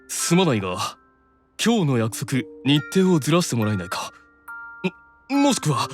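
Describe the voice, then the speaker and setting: An actor playing a stern, exasperated but doting older brother, commanding and easily flustered, in an anime audio drama. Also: male voice, on the high side. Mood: apologetic. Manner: commanding, scolding, flustered when softened.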